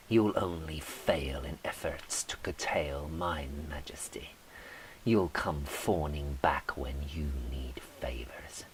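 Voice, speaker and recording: A vengeful carved idol, voiced by a man delivers a poetic monologue in a very low, slow voice. It is imperious and hushed with menace, over a faint hiss. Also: low-quality recording. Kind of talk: dramatic poetry monologue.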